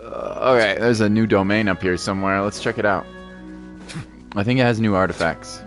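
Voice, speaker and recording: Male game streamer, low register. Informal, chatty, thinks out loud over faint game music. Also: moderate pace. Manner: informal, rambling, thinking aloud, occasional playful exclamations. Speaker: gaming livestream host. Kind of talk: casual livestream gameplay commentary, chatting with viewers.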